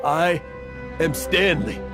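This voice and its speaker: A man's voice: on the high side, slow. An actor playing a middle-aged male adventurer in a game, theatrical and emotive, a braggart carrying guilt. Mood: grieving, guilty. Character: aging male adventurer, boastful outside, guilt-ridden within. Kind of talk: animated game voice acting. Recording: background music under the voice.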